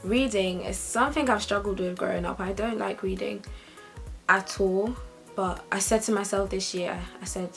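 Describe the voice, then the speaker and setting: A young woman chats brightly to her camera, lively and upbeat, at a middle pitch. Soft music plays faintly behind her. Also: moderate pace, vocal fry. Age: young adult. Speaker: vlogger. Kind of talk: casual talk to camera.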